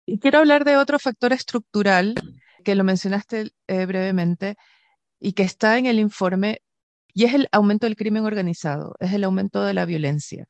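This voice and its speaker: A woman's voice: medium pitch, moderate pace, no room sound. A woman from Ecuador interviews a guest, weaving in personal observations as she asks. Her voice comes through a slightly dull, very dry remote recording. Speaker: host. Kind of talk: interview questions.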